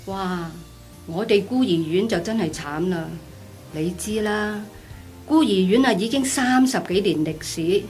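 A woman's voice: medium pitch, measured pace, darkish tone, close to an everyday voice. A woman with a Hong Kong Cantonese accent playing a chatty, pleading orphanage director in a radio drama. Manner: exclamatory, persistent. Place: small padded radio studio. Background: music.

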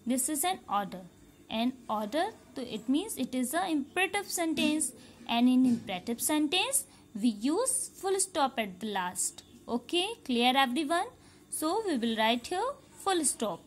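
Slow, lilting Indian English teacher. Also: female voice, sits high, very animated, slight background noise. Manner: slow, repetitive, patient explaining, checking understanding. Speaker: schoolteacher. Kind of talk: recorded grammar lesson for young pupils. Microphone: phone camera held over a workbook.

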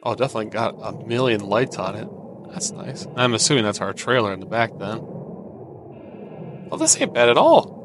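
An American man giving casual let's-play commentary, easygoing and thinking out loud. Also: medium pitch, big pitch swings, brisk, long pauses, noisy background. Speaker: gaming video host.

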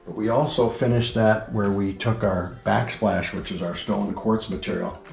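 A man's voice: fairly low pitch, fairly even intonation, normal speed, creaky, darkish tone. A man working as a home builder explains a house on a tour in a matter-of-fact, informative way. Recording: roomy indoor echo with music behind. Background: background music.

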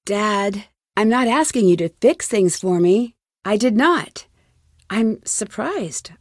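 A woman's voice: medium pitch, lively intonation, slow, no echo, clean studio sound. An actress voicing a determined, inquisitive young woman detective with an American accent in a video game, earnest and questioning. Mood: earnest, challenging. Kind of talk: video game voice acting, isolated dialogue lines.

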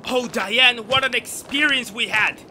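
A man acting an excitable, lecturing amateur astronomer in a theatrical, grandiose 1930s radio drama style. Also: extremely high pitch, unhurried, noisy background. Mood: breathless excitement.

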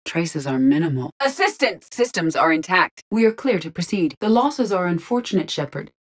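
Sci-fi game ai lady, kinda low voice, super composed, formal n precise, very analytical. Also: sing-song. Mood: urgent, alert. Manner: precise, formal, measured diction. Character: composed, analytical female artificial intelligence in android body. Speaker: game character, squad companion. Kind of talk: video game voice lines, sci-fi acting.

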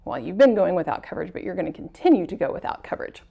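A woman's voice: on the low side, big pitch swings, rapid-fire, creaky, warm tone, breathy edge. A woman in her thirties presenting an educational talk to camera in a studio, earnestly walking through examples in the first person. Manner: earnest, uses first-person examples, some self-corrections. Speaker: extension agent presenter. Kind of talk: explanatory lecture to camera. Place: studio with plain backdrop.

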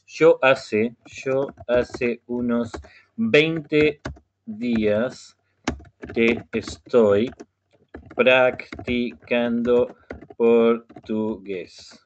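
A man from Uruguay with a Uruguayan Spanish accent, leading an online Spanish conversation class, speaking slowly and patiently and repeating words for learners. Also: mid-range voice, steady tone, slight background noise. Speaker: language class facilitator. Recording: muffled web meeting audio.

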